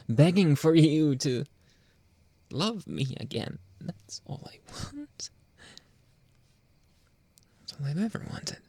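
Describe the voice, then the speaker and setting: A man playing a jealous, controlling young boyfriend in an intimate ASMR roleplay, halting and pleading, often dropping toward a whisper. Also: medium pitch, darkish tone, breathy edge, no echo, exaggerated voice. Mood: hurt, begging. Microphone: close, dry microphone.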